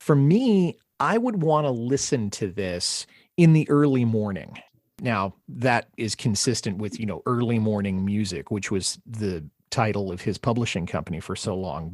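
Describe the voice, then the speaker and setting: A man hosting a music discussion podcast, speaking in a measured, articulate and reflective way. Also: on the low side, very animated, a little mellow, dry recording, clean studio sound. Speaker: podcast host.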